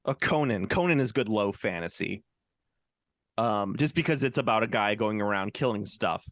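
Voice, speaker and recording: Lively, rambling male podcast host. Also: fairly low pitch, moderate pace, dry recording, clean studio sound. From United States. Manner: rambling, jokey, lots of restarts and fillers. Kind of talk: casual chat.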